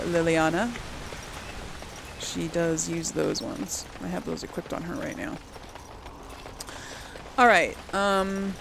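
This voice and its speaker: Chatty woman with a creaky voice. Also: fairly low pitch, background noise. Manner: chatty, musing aloud. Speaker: gaming video host. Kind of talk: casual let's play commentary.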